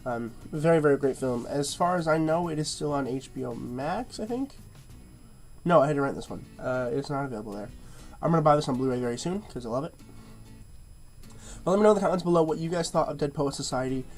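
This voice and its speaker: A man's voice: fairly high pitch, lively intonation, fast talker, faint music behind. A man with a stuffy, nasal-sounding nose giving a casual movie review, rambling and padding his speech with fillers and repeated words.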